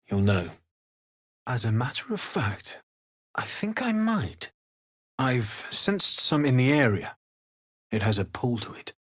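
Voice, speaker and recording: A man voicing a kindly, earnest young tiefling blacksmith in a video game, speaking in a gentle, sincere, measured way. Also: on the low side, breathy edge, full character voice. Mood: regretful, subdued, then warm, intrigued. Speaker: voice actor. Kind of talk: video game character dialogue.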